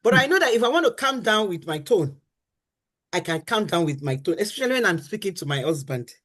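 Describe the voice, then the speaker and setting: A woman's voice: mid-range voice, very animated, a little fast, fairly bright tone. A middle-aged woman on a faith-based talk show, teaching about marriage. She talks in an earnest, emphatic way, repeats her key phrases, and acts out what spouses say.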